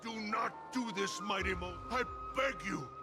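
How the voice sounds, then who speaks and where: An actor playing a devoted, intense subject of a warrior king in a dramatic game, with a gravelly voice. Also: sits high, unhurried. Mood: desperate, pleading. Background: loud music.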